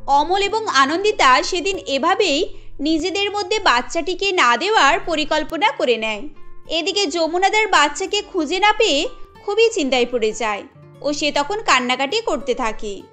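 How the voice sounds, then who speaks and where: A woman narrating a Bengali cartoon story in a steady, explanatory storytelling way. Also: very high-pitched, moderate pace, faint music behind.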